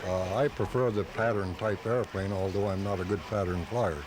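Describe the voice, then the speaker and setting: A man's voice: on the low side, steady tone, moderate pace. A man being interviewed as a hobbyist on live radio, explaining things casually and plainly. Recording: noisy home-taped live radio.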